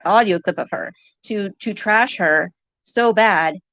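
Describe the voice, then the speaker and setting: A woman's voice: medium pitch, a little slow, a bit bright, dry recording. A woman in a heated, informal argument, talking in an accusatory, rambling way and sometimes swearing. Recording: muffled lo-fi audio.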